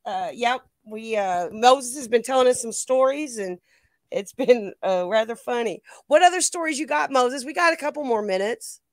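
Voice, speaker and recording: A woman's voice: fairly high pitch, moderate pace, no echo, clean studio sound. A woman co-hosting a livestream, chatting informally, reading viewer comments and encouraging her partner along. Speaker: livestream co-host. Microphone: remote livestream call.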